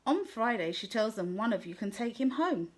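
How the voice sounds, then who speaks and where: A woman reading a children's picture book aloud in a gentle, clear storytelling manner. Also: a little high, big pitch swings, moderate pace, background noise. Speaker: storybook reader.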